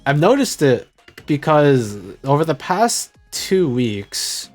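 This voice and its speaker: A man's voice: on the high side, big pitch swings, slow, faint music behind. Rambling young man streaming a game. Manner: rambling, self-correcting, trails off quietly. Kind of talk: casual rambling chat while gaming.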